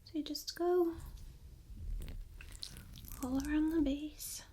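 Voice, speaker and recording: A woman speaking softly and whispering in a hushed, gentle ASMR style, giving step-by-step demonstrations into a close microphone. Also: sits high, steady tone, slow pace. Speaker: ASMR host demonstrating plant care in a role play. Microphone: close binaural microphone. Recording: faint background noise, binaural close-miked.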